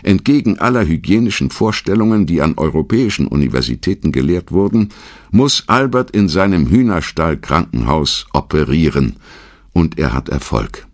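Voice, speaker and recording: A man reading a scripted documentary narration in a measured, dramatic storytelling manner. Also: low voice, animated, no room sound.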